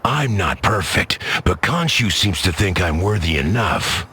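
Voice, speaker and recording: A man's voice: deep voice, big pitch swings, unhurried, sharp tone, breathy edge, exaggerated voice. A man playing a brash vigilante hero in a video game, casual, cheeky and dry. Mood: wry.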